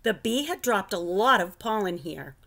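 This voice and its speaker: A middle-aged woman reading a children's picture book aloud in an expressive, deliberate storytelling manner, at home. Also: medium pitch, vocal fry. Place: living room at home.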